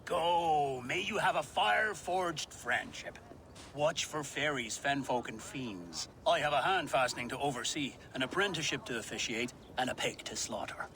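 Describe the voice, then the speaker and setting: A man's voice: mid-range voice, expressive, moderate pace, bright, forceful tone, a little hiss or hum. An actor playing a mischievous, jovial old village elderman and harvest king in a video game, grandiose and teasing. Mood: hearty. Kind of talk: video game cutscene acting.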